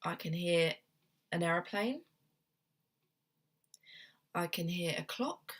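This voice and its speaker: A young woman therapist with a cold, talking casually to camera at her desk at home, gentle and meandering with many ums and restarts. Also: on the low side, expressive, slow, husky. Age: young adult. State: has a cold, sniffly. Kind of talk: casual self-help talk to camera.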